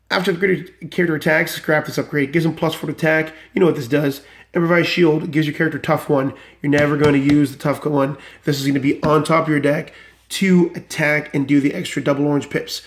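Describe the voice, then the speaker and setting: Enthusiastic male deck reviewer, slightly high voice, still recovering from illness, chatty and rambling. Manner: enthusiastic, rambling with many fillers. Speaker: card game deck reviewer. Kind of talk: casual deck walkthrough.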